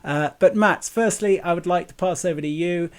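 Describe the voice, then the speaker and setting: A man's voice: high voice, normal speed, clean tone. A man hosting an interview podcast, chatty and upbeat, often laughing. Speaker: podcast host.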